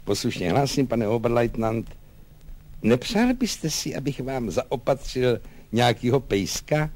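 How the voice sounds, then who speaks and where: Storybook soldier servant, male performer, cheerful simpleton, obsequious and chatty, colloquial, very animated intonation. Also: medium pitch. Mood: eager, obsequious. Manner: deferential, folksy, colloquial rambling.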